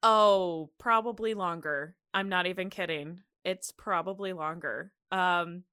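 A woman, probably in her thirties, hosting a podcast in a casual, chatty, animated and giggly way. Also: fairly low pitch, unhurried, smooth, dry recording, studio quality. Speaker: podcast host.

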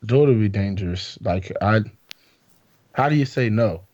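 A low, mellow-voiced man speaks in an easygoing, reflective way. The room is dry and the sound dull and lo-fi. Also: lively intonation, moderate pace, no room sound. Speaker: podcast co-host. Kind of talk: casual chat.

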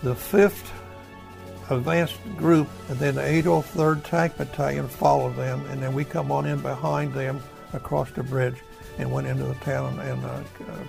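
A man's voice: medium pitch, little pitch movement, normal speed, music in the background, rough recording. An elderly American man, a war veteran telling his memories in a folksy, rambling way, searching for words. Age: older, over 70. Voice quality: gravelly. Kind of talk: oral history storytelling.

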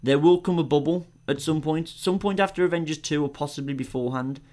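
A man's voice: fairly high pitch, fast, darkish tone. Chatty opinionated man. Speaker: podcast co-host. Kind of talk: casual film chat.

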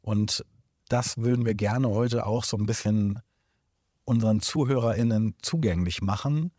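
A man with a lowish voice hosting a podcast interview in a room indoors. He talks in a measured, formal manner, welcoming and introducing his guest. The recording is dry. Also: warm tone, no room sound. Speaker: podcast host.

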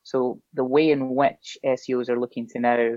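A man's voice: medium pitch, flat delivery, moderate pace, a little mellow, dry recording. A man with a Scottish accent, a guest chatting casually on a podcast with a lot of filler ums. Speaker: SEO guest. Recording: dull lo-fi podcast audio.

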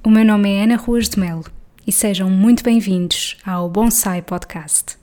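A woman with a European Portuguese accent hosts a podcast and introduces the episode in a measured, friendly tone. Her voice sits at a mid pitch and her delivery is plain. When she chats with her guest she talks more briskly. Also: dark tone, faint music behind. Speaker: podcast host.

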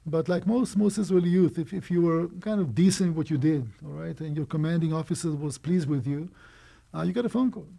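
A man in his sixties, born in Romania, telling his life story as an interview guest in a brisk, animated way. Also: fairly high pitch, gravelly. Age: 60s. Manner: brisk, animated, quick asides and small laughs.

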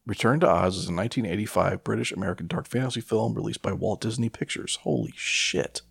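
A man's voice: lowish voice, quick pace, vocal fry, husky, dry recording, studio quality. A man co-hosting a podcast, bantering casually about movies in slangy, foul-mouthed talk. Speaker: podcast co-host.

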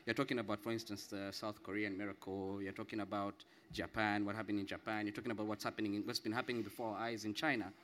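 A man's voice: fairly low pitch, flat delivery, fast, hardly any pauses, a bit bright, a little hiss or hum. A man from Zambia, explaining as a panel guest, repeating points for emphasis and often checking with "right?". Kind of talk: spontaneous explanatory discussion.

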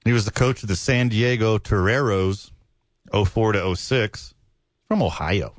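A man's voice: on the low side, a little slow, no echo. A man from Texas co-hosting a casual sports podcast, trading dry, teasing banter. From Texas, USA. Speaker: podcast co-host.

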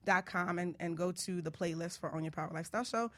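Fast-talking New woman hosting a show. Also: lowish voice, fairly bright tone. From Bronx, New York. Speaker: radio show host. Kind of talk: casual chat and storytelling.

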